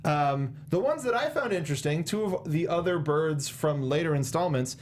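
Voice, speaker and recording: A man's voice: a little high, animated, normal speed. A young man co-hosting a casual film review chat, playfully sarcastic and mock-dramatic, close on a studio microphone. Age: young adult. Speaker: talk show co-host. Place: small indoor studio. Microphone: close desk microphone with foam windscreen, headset monitoring.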